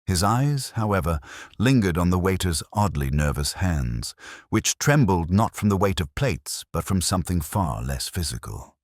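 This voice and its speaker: A man narrating a scripted mystery story in a measured, formal way. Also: low-pitched, very animated, dry recording, clean studio sound.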